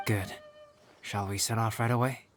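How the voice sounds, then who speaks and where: An actor playing a calm, cerebral, enigmatic young man in a video game, speaking in measured, polite, formal phrases. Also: fairly low pitch, close to an everyday voice. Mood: composed. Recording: music playing behind the voice.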